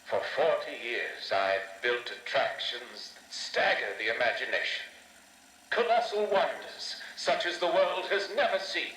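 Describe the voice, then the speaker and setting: A grandiose, bitter showman in a game audio log. He speaks slowly and deliberately with dramatic swoops, on an echoey old tape with music behind. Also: medium pitch, expressive, sharp tone. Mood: proud, indignant. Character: pompous, proud, bitter male attraction builder.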